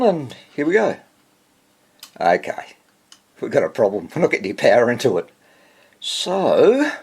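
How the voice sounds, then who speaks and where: Male hobbyist presenter for a workbench repair video: unhurried, lively rise and fall in pitch, talking haltingly to himself while working. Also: creaky, a little hiss or hum. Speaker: hobbyist radio restorer, presenter. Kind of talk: casual hands-on repair narration, thinking aloud.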